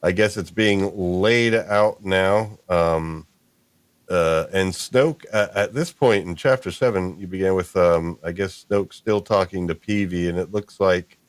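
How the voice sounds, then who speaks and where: Low-voiced, dry-sounding male podcast host. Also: a little slow, dry recording. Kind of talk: casual interview chat.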